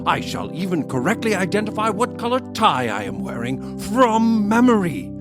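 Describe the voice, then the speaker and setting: A man's voice: high-pitched, sing-song, normal speed, music in the background. An actor playing a pompous self-styled mystic bosun, grandly hawking his book in a parody old-time radio advertisement. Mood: grandiose, salesy. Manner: grandiose, hucksterish salesman patter.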